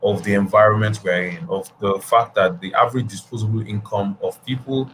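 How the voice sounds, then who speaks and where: Low-voiced man hosting a video call. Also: fairly even intonation, a bit bright, slight background noise, low-quality recording. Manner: measured, hesitant, restarts his phrases. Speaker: host. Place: indoor room at home.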